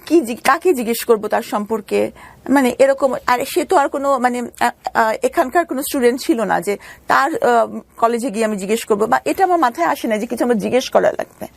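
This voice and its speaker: A Bangladeshi woman telling her personal story as an interview guest, halting and repeating phrases for emphasis. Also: on the high side, animated, creaky, dry recording.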